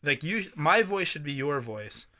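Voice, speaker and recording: A man in his late thirties co-hosting a podcast, chatting casually and rambling through halting restarts. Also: a little high, big pitch swings, pushed and bright. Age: 30s. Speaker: podcast co-host. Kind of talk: casual chat.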